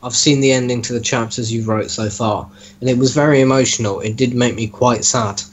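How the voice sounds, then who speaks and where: A man talking casually as an interviewer, with a deadpan, mock-serious teasing manner. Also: mid-range voice, brisk. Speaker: host interviewing a story's author. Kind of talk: casual joking chat with reading aloud.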